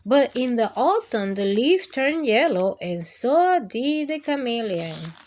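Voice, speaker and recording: Slow, lively high-voiced woman reading to children. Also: slight background noise. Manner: sing-song, expressive, addressing children with questions. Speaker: children's storytime host. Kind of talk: animated read-aloud of picture books for young children.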